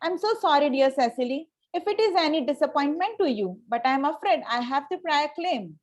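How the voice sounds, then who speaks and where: A haughty young society lady from a Victorian comedy, played by a woman at a table read. Her voice sits very high. She speaks formally and with cool politeness, tripping now and then on a line. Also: lively intonation, brisk. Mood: coolly indignant. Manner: formal, stumbles over lines. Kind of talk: amateur reading of a Victorian comedy play.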